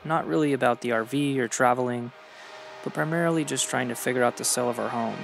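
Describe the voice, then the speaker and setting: Male vlogger, creaky mid-pitched voice, earnest scripted voice-over narration of a personal journey, music underneath. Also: fast talker. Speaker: vlogger narrating own travel story.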